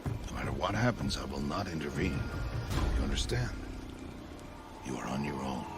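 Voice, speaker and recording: A man playing a stern, solemn middle-aged outcast hunter and foster father in a video game, speaking in a grave, measured, formal way. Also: very deep voice, animated, very noisy. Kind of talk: video game cutscene voice acting.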